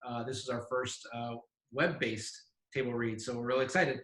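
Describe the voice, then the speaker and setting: Bright-voiced man in an echoey room. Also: medium pitch, fairly even intonation, moderate pace. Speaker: festival director introducing a cast. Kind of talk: casual scripted introduction. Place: indoors on a web call. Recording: roomy, echoey web call.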